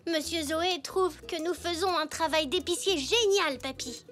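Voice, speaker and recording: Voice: very high voice, a little slow, music in the background. A performer voicing a polite, earnest little boy zebra in a French children's cartoon. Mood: polite, eager.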